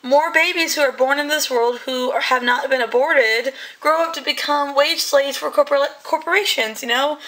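A woman hosting her channel, giving heated, rambling commentary in a hoarse voice that is still coming back. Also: high-pitched, bright, forceful tone, low-quality recording. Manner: heated, rambling, many fillers. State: hoarse, voice recovering. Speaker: channel host. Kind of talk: casual commentary.

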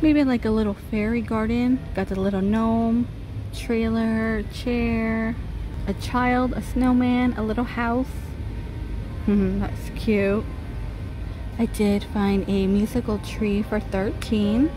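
Woman vlogger, slow and chatty, fairly high voice, phone mic, store music behind. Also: flat delivery, poor audio. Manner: chatty, reads out prices, laughs softly. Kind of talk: casual shop-with-me walkthrough. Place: large retail store, indoors. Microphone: handheld phone. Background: holiday music playing in the store.

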